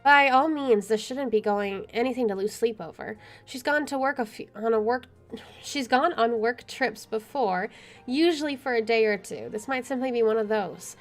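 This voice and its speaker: A woman's voice: fairly high pitch, moderate pace. A woman streaming a game, reading visual novel narration aloud and adding casual asides. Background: soft music.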